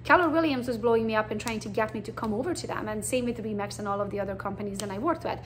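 A woman's voice: medium pitch, very fast, slight background noise. A Romanian-born woman in her forties with a Hungarian accent, chatting bluntly about her realtor career in a recruiting presentation. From Transylvania, Romania. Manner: chatty, blunt, animated storytelling.

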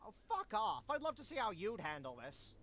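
A man plays a whiny, nervous cartoon animal scavenger. His high voice swings widely, full of hesitation and exasperated swearing. There is clear background noise behind him. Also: wildly swooping pitch, brisk, bright, forceful tone, full character voice. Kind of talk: cartoon game voice acting.

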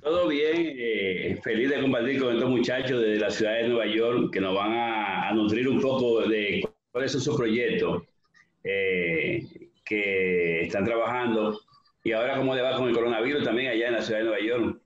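A man co-hosting an interview over a video call, asking questions with filler pauses. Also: mid-range voice. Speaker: co-host. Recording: echoey dull line with crowd.

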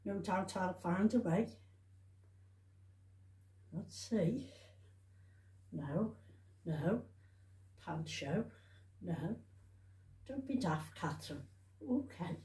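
A woman talks in an unhurried, mellow voice. She rambles chattily as if to a camera at home, circling back over her words and adding tag questions. The room gives her voice a noticeable echo. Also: fairly low pitch, expressive. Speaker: vlogger showing shopping haul. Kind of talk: casual rambling chat to camera. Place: indoors at home. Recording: roomy, echoey home recording.

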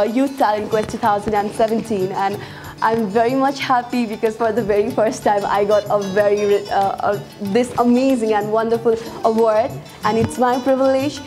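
A woman's voice: on the high side, a little mellow. A woman in her twenties with a Nepali accent, giving a hesitant winner's interview. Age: 20s. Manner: hesitant, repetitive. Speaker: contest winner. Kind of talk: acceptance interview. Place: indoor event venue, before a banner.

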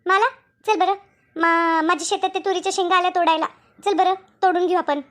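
A chatty village woman from an animated comedy, played by a woman. Her voice is extremely high, almost childlike. She speaks with a rural Maharashtrian Marathi accent in a folksy, repetitive way. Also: fairly quick. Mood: brisk, friendly. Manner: colloquial, repetitive, rustic village talk. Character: chatty village women in a comic cartoon.

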